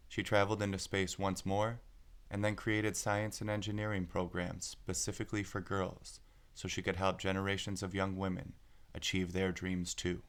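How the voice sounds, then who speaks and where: A man narrating a picture book aloud, phrasing it clearly with deliberate pauses. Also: sits low. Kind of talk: scripted storybook narration.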